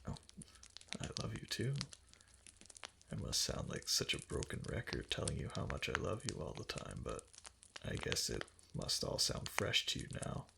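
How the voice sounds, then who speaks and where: A man playing a doting, obsessive fox-spirit husband in an intimate ASMR roleplay, breathy and half-whispered, tender and hesitant, close to the microphone. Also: rumbling low, huge pitch swings, moderate pace, noisy background, heavily acted. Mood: adoring.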